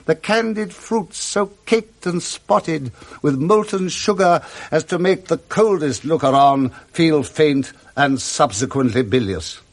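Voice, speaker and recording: A man with a British accent giving expressive scripted narration of a classic story in 1960s radio style, relishing each detail. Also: fairly high pitch, takes it slowly.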